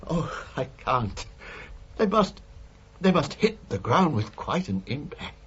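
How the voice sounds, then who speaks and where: Casting: anxious British male lodger for radio crime drama, courteous, faltering and appalled, with lively, varied pitch. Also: takes it slowly, slightly rough, exaggerated voice. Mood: horrified, pleading. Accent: British English. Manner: hesitant, polite, appalled. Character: nervous, polite male lodger questioning a murderess. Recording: dull radio recording with faint hiss.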